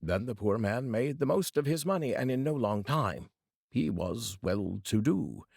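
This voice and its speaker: A man's voice: mid-range voice, expressive, measured pace, vocal fry, darkish tone, breathy edge, no echo, studio quality. A man narrating a folk tale as a storyteller, reading aloud expressively.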